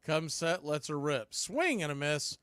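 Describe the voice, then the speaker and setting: A man doing radio baseball play-by-play, making steady calls mixed with conversational analysis. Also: a little high, measured pace, clean tone, no room sound, studio quality. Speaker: play-by-play announcer.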